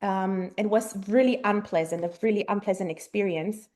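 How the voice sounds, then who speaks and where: A German-born woman in her thirties, a native German speaker, answering interview questions thoughtfully with frequent pauses and ums. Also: mid-range voice, a little mellow. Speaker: guest mother. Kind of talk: casual interview conversation.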